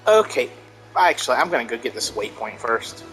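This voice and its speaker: A man's voice: medium pitch, sing-song, a little fast, rough recording. A man giving casual let's play commentary in a wry, mock-exasperated way, with game music behind. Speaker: gaming let's player.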